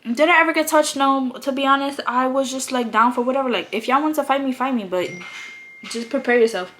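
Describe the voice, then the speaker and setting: A woman's voice: high voice, brisk, a bit bright. A young woman vlogging while she does her makeup, telling a candid story in a chatty way, with lots of fillers and swearing, in a slightly babyish voice. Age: young adult. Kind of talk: casual get-ready-with-me storytime. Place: home, doing makeup.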